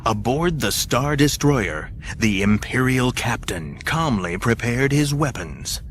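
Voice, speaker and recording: A man's voice: mid-range voice, lively intonation, takes it slowly, a little hiss or hum. A man narrating an adventure audio drama in a scripted, dramatic storytelling style. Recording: music behind the narration.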